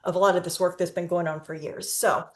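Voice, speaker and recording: A woman in her fifties giving a presentation on a video call, pausing often with fillers and restarts. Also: on the low side, level tone. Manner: speaks slowly with frequent fillers and restarts. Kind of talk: conference talk. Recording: roomy echo.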